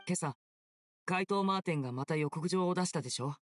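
A man playing a polite, gentle, self-possessed young stage magician in an anime game, courteous and reassuring. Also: sits high, brisk, natural voice. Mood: earnest, persuasive.

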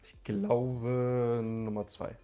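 A man of twenty-one talking casually on a dating show, with relaxed, hesitant short answers. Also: mid-range voice, very slow, faint music behind. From Germany. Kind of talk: casual chat.

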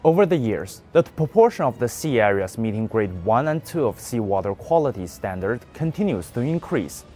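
A man's voice: mid-range voice, very animated, normal speed, dark tone, faint music behind. A young man presenting a scripted news commentary with an emphatic, pointed delivery.

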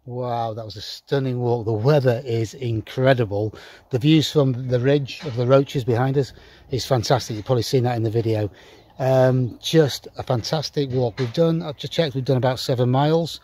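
A man's voice: mid-range voice, steady tone, moderate pace, dark tone. A man in his sixties hosting a hiking vlog, chatting enthusiastically to a phone held at arm's length in open countryside. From England. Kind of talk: casual outdoor vlog chat. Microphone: phone selfie at arm's length.

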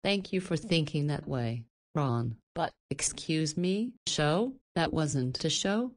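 A woman's voice: low-pitched, darkish tone. An actress playing a caring, flirty woman in a visual novel, chiding and teasing like a doting guardian.

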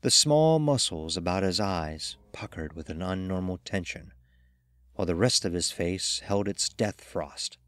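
A man narrating a horror story in the first person with deliberate, dramatic delivery. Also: low-pitched, fairly bright tone, dry recording.

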